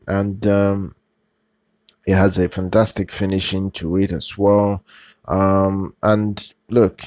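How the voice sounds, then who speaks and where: A man with a very deep, gravelly voice and a dark, mellow tone talks through product news on his channel. He speaks in a halting way, stumbling over restarts and repeating himself, while his pitch stays flat. The sound is close and dry. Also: dry recording. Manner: halting, with many fillers, restarts and repeated phrases. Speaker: channel host. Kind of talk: casual product news talk.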